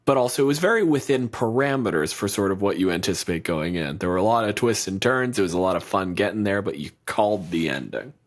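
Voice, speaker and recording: A man with a fairly low voice co-hosts a podcast, chatting casually. He rambles and restarts, dropping swear words with animated emphasis. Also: fast talker, few pauses. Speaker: podcast co-host. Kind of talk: casual chat.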